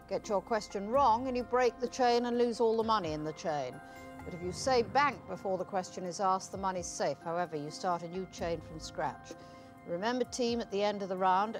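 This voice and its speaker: A woman hosting a television quiz in a studio, reading questions and rules in a curt, mocking way. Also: medium pitch. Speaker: quiz show host.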